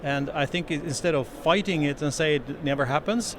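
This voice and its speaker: A man's voice: fairly high pitch, a little fast. A middle-aged man from Norway with a Norwegian accent, explaining a product earnestly in a trade show interview. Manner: earnest, slightly non-native phrasing with restarts. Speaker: company representative. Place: exhibition stand indoors. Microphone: handheld interview mic. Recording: noisy trade show floor.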